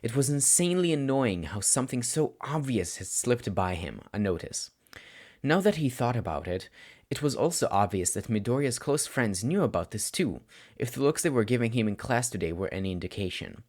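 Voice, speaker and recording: A man's voice: mid-range voice, big pitch swings, normal speed, a little mellow. A man reading a scripted story as an audiobook narrator. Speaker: podfic narrator.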